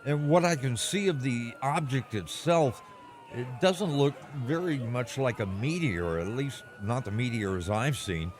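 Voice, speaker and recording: A man playing an earnest, flustered American radio news reporter in a radio play, halting as he describes what he sees. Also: medium pitch, expressive, close to an everyday voice. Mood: awed, flustered. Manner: halting, descriptive, searching for words.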